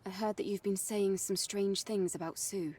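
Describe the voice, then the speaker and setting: An actress playing a composed, earnest young female warrior in a video game, speaking in a measured, matter-of-fact way. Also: medium pitch, fairly even intonation, a little mellow, slightly rough, natural voice. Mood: serious. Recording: slightly distant, music underneath. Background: faint music.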